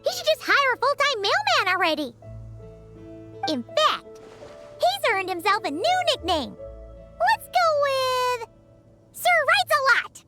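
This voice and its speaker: A woman's voice: very high voice, very animated, a little slow, pauses often, heavily acted. A woman voicing a tiny floating female companion in a video game, brash and chirpy, referring to herself by name. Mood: cheeky. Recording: game audio with background music.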